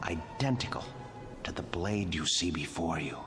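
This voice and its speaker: An actor playing a devoted, intense museum curator in an audio drama, precise, polite and theatrical, with music underneath. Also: male voice, low-pitched, animated, normal speed. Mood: macabre, theatrical. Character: devoted, brooding middle-aged male wax museum curator, deferential and intense.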